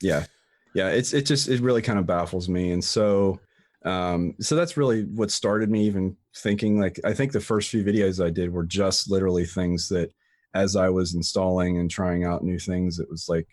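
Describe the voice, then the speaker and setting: A man with a fairly low voice speaks in a loose, conversational way, circling back and restarting his sentences often. The sound is dry and close, with no room around it. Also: darkish tone, no echo. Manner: rambling with frequent restarts and fillers. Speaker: guest, tutorial creator. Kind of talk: casual interview chat.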